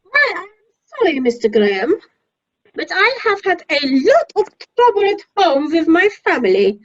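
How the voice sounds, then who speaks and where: A woman's voice: extremely high pitch, very animated, normal speed. An amateur actress playing an apologetic, deferential cleaning woman in a radio play. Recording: muffled, lo-fi video call audio.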